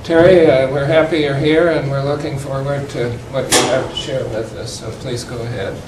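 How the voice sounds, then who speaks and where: A man's voice: mid-range voice, fairly even intonation, normal speed. A man introducing a guest speaker to a class, friendly, with a brief stumble. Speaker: course instructor. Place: lecture room. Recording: echoey room from far off, loud noise.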